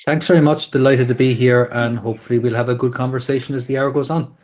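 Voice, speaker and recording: Man, guest expert, video call, brisk and friendly, fast talker. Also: mid-range voice, fairly even intonation, darkish tone. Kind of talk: conversational interview. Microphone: video call, remote.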